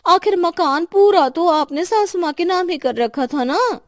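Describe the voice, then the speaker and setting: A woman's voice: very high voice, expressive, measured pace, no room sound, clean studio sound. A woman voicing a sly, suspicious, greedy daughter-in-law in a Hindi cartoon story. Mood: accusing.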